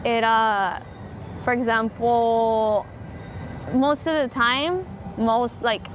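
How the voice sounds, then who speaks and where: Brief: young woman, high voice, animated code-switching, recorded outdoors over clear traffic noise. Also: drawn-out words. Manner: switches between English and Spanish mid-sentence, animated. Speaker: mariachi singer and organizer. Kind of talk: casual interview conversation.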